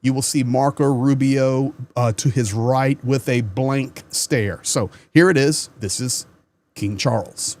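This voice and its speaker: A man talks straight to his viewers as the host of his own commentary channel. He is earnest and conversational, and sometimes repeats a word as he starts a sentence. His voice sits at an ordinary middle pitch. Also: slow, darkish tone. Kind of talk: casual news commentary to camera.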